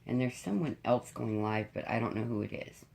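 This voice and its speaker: Congested middle-aged woman with a cold, raspy and frank, for a chatty home vlog. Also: rumbling low, animated, brisk, darkish tone, rough recording. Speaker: diamond painting YouTuber. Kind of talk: casual chat to viewers.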